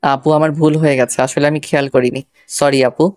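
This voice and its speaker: A man playing a meek, soft-spoken young student in an audio story, speaking apologetically. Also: fairly high pitch, steady tone, moderate pace, no echo, studio quality, natural voice. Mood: meek, apologetic.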